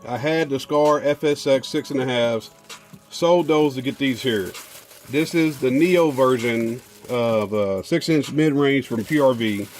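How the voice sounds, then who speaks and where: A man talks loosely to his viewers, drawling through fillers and restarts as he shows off new car audio gear. His voice sits at an ordinary middle pitch. Faint music plays behind him in what sounds like a garage. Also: measured pace, darkish tone. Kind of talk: casual unboxing chat.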